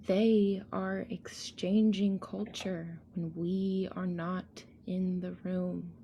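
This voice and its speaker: A woman recites poetic spoken word at a slow, deliberate pace. Her delivery is solemn and measured, with faint noise behind her. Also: medium pitch, mellow.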